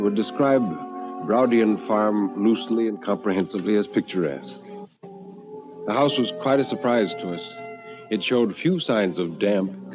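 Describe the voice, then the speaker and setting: A male radio storyteller with a fairly low voice reads in a measured, formal manner. The recording is dull and vintage, with faint music behind it. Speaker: story reader. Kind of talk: old-time radio storytelling.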